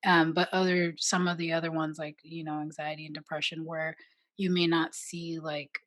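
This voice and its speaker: Low-voiced, hesitant woman guest. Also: level tone, moderate pace, a bit bright, no echo. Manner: hesitant, many fillers and restarts, laughs lightly. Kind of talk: casual panel conversation.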